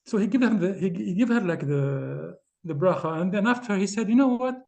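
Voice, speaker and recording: A man from Tunisia speaking English as an on a video call, halting with many fillers and restarts. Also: high-pitched, lively intonation, creaky, darkish tone. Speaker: class participant. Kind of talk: casual discussion on a video call.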